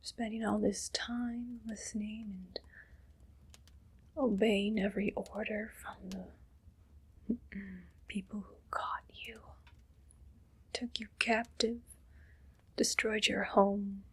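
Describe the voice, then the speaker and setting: A woman playing a haughty, condescending evil queen in a whispered ASMR roleplay, slow and disdainful, with a breathy voice. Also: medium pitch, fairly even intonation, heavily acted. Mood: cruelly taunting.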